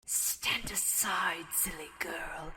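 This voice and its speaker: Cold villain: very slow, sharp, breathy commands, drenched in echo. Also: female voice, low-pitched, exaggerated voice. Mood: menacing. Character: cold, menacing villain ordering a victim aside. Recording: long echoing reverb, faint noise.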